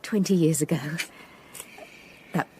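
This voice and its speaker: An actress playing a grieving, gentle Tudor widow in a period radio drama, speaking with measured formality. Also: female voice, deep voice, a little hiss or hum. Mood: wistful.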